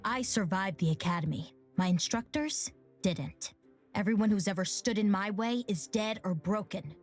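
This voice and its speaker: A woman's voice: mid-range voice, normal speed, soft background music, lightly acted. A woman voicing a bitter, defiant teenage girl warrior in a video game, blunt and sardonic. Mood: menacing.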